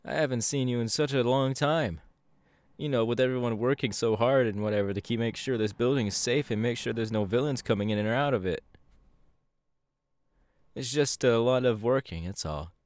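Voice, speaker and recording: A man's voice: medium pitch, moderate pace, a little mellow, no room sound, natural voice. A man playing a friendly, bashful young hero with a hesitant, playful manner in an ASMR audio roleplay. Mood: sincere, bashful.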